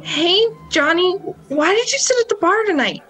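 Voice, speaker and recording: A woman's voice: very high-pitched, animated, moderate pace, music in the background. A woman playing an anxious, scheming young nightclub worker in an amateur radio play, hesitant and melodramatic. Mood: coaxing, furtive.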